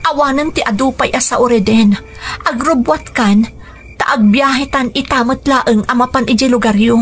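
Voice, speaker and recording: A woman's voice: on the high side, moderate pace, fairly bright tone, soft background music, lightly acted. A woman playing a pleading young lover. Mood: urgent. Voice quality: pleading, earnest. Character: young woman in love, pleading with her father. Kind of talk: radio drama acting.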